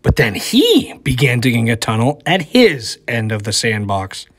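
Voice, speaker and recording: A man's voice: medium pitch, huge pitch swings, normal speed, fairly bright tone. A man speaking as a teacher to young children, in the style of a read-aloud lesson. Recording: echoey room with long reverb.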